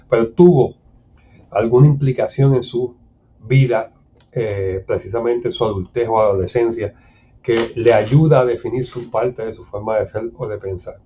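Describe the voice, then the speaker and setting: A man's voice: medium pitch, mellow. Dull-sounding recording, Puerto Rican man lecturing. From Puerto Rico. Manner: formal, measured, didactic. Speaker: conflict mediator giving a seminar talk.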